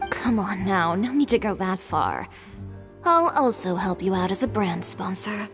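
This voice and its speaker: A woman playing a confident, encouraging young businesswoman in a video game, with a brisk, decisive manner. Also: mid-range voice, sing-song. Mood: friendly, decisive. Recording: reverberant hall, faint music underneath.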